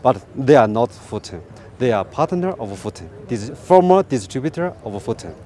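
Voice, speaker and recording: Male company representative, thirties, Chinese accent, soft-edged mellow tone, unscripted outdoor interview with halting repeated phrases. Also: mid-range voice, very animated. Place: outdoors beside a parked truck.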